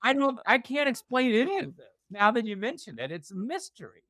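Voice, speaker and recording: A man's voice: very high voice, very animated, a little fast, bright, forceful tone, no echo, clean studio sound. A middle-aged man from Virginia's Shenandoah Valley, chatting as an interview guest in a rambling, self-deprecating, joking way. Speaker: guest author. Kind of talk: casual interview chat.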